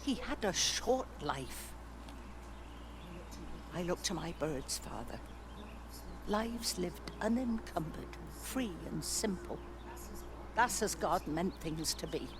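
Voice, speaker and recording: An actress playing an elderly, sharp-tongued, mournful English village widow in a naturalistic video game drama. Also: female voice, on the low side, expressive, slow pace, pauses often, noisy background. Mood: bitter, grieving. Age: older.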